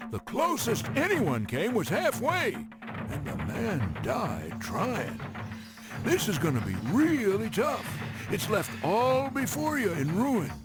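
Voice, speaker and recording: An actor playing a wry, folksy, teasing railroad narrator in a game cutscene, giving theatrical briefings with drawn-out emphasis. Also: male voice, high-pitched, full character voice. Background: music.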